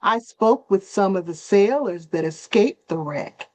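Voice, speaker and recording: A woman playing a sober male friend bringing news, reading Shakespeare in a measured, plain way. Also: medium pitch, expressive, dry recording, close to an everyday voice. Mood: sober reporting. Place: online video call reading.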